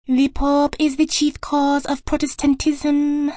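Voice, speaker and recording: A woman playing a soft-spoken member of a sect who recites maxims in a measured way in an audiobook drama. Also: high-pitched, monotone, dry recording, studio quality. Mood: measured reciting.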